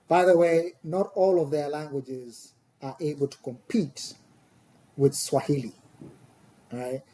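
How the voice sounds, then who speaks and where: A middle-aged man with a Tanzanian accent, speaking a second language in thoughtful interview talk, hesitant, with pauses and repeated words as he explains. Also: on the high side, mellow, a little hiss or hum, low-quality recording. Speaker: podcast guest, scholar.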